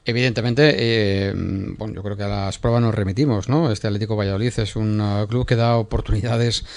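Man, sports presenter; clear, resonant tone, conversational, hesitant delivery. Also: lowish voice, steady tone, a little fast, a little mellow. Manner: conversational, hesitant with many fillers. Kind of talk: sports news report.

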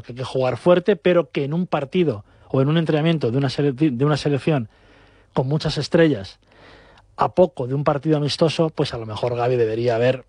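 A man presents a football talk show from a dry studio with almost no room sound. His voice sits a bit high, and he runs through the news quickly with the odd hesitation. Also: darkish tone, breathy edge, no echo. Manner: rapid fluent presenting with hesitations. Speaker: sports show host.

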